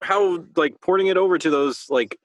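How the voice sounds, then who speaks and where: A man's voice: fairly high pitch, normal speed, no echo, rough recording. A man co-hosting a podcast, chatting casually about video games. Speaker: podcast co-host. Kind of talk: casual video game chat.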